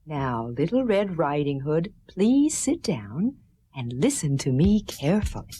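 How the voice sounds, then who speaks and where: A woman's voice: sits low, big pitch swings, measured pace, mellow, breathy edge, exaggerated voice. A woman voicing a caring but firm mother in a children's musical story, instructing carefully. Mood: gently firm.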